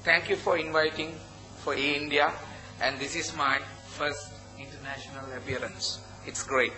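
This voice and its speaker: A man with a Sri Lankan accent giving an earnest conference presentation in short, halting phrases. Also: a little high, steady tone, music in the background, rough recording. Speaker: conference presenter, project founder. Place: conference hall at a hotel.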